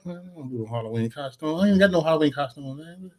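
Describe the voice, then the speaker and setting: A man co-hosting a casual talk show, laid-back and stammering, using lively slang. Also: fairly high pitch, quick pace. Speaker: co-host.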